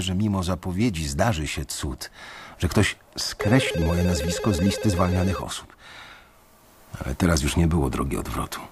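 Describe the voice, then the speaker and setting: An actor narrating in first person as an anxious, sardonic bank employee facing layoff, in a measured, dry radio drama delivery. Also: male voice, low-pitched, very noisy. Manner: measured, dry, wry storytelling.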